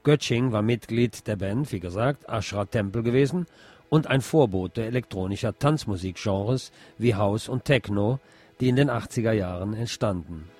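A man's voice: fairly low pitch, normal speed, a little mellow. A man presenting a scripted radio feature as host. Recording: music behind the voice. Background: music.

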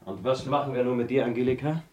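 An actor playing an authoritative male police inspector in a 1970s radio crime drama, official and measured. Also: medium pitch, a little mellow, dull audio, slight background noise, close to an everyday voice. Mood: businesslike.

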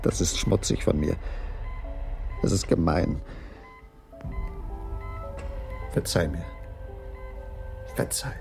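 An actor playing a gloomy, suspicious husband in a radio crime drama, brooding and halting when questioned. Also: male voice, fairly even intonation, music in the background, full character voice. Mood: remorseful, anguished. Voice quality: gravelly, husky low voice. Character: gloomy, suspicious dining-car waiter, husband of an injured woman.

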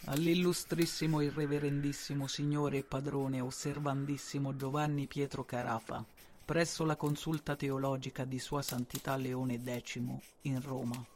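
An actor playing an obsequious, devoted informant formally reading out a letter to his master in a radio drama, with a gravelly, creaky voice. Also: mid-range voice, flat delivery, normal speed, a little mellow, a little hiss or hum, close to an everyday voice. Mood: servile, formal. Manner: formal, deferential.

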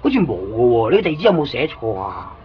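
A man's voice: medium pitch, brisk, a little hiss or hum, lightly acted. A man playing a chatty Hong Kong guide in Tokyo. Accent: Hong Kong Cantonese. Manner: talkative, excitable, joking. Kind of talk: 1990s radio drama acting. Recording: dull lo-fi 1990s radio recording.

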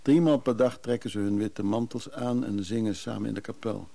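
A weary middle-aged businessman in a radio play, wistful and reflective. His low voice is full of gravel and soft around the edges, with hesitant pauses as he confides. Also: steady tone. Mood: grave, confiding. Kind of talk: naturalistic Dutch radio drama acting.

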